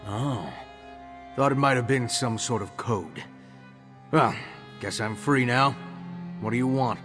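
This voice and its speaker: A sardonic, bookish adventurer acted by a man grumbles dryly in a fantasy game. His medium-pitched voice carries a long hall reverb. Music sits behind him. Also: slow, natural voice. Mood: irritated. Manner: dry, sarcastic. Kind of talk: scripted video game dialogue.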